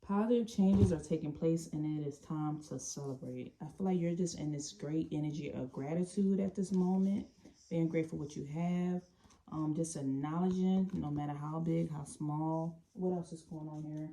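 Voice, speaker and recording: A woman giving an intuitive tarot reading, talking in a calm, meandering way and often restarting or repeating phrases. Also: low voice, moderate pace, a little hiss or hum. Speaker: tarot reader.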